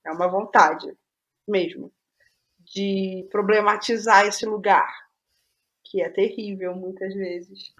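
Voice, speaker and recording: A middle-aged Brazilian woman speaking as an interview guest, reflective and halting, qualifying her own words as she goes. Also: medium pitch, fairly even intonation, lo-fi sound. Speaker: guest playwright.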